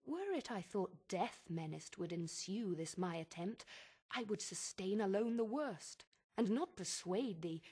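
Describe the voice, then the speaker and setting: Eve, husky, rapturous devoted wife. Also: female voice, mid-range voice, expressive, warm tone. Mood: earnest devotion. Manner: impassioned, measured verse delivery.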